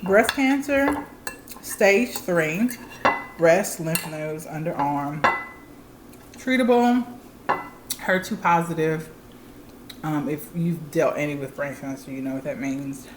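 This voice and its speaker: Candid low-voiced woman in her thirties. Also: takes it slowly, long pauses, echoey room, noisy background. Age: 30s. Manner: candid, chatty, explains plainly. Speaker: channel co-host. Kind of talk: casual chat.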